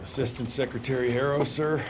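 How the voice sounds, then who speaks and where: An actor playing a young, loyal, wry agent in a dramatic game. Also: male voice, medium pitch, steady tone, a little fast, very noisy, close to an everyday voice. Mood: deferential. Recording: music under the voice.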